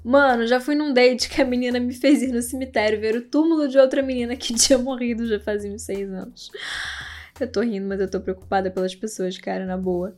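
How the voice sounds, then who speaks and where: A woman's voice: on the high side, fairly even intonation, a little fast, darkish tone. A young woman with a Brazilian accent, hosting a podcast and telling astrology-themed stories in a casual, laughing, slangy way. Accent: Brazilian Portuguese. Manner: chatty, laughing, slangy asides. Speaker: podcast host.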